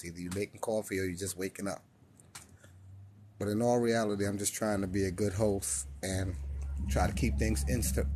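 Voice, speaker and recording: A man's voice: on the low side, dark tone, a little hiss or hum. A man from New York hosting a casual live-stream podcast, rambling and interrupting himself, with frequent laughs.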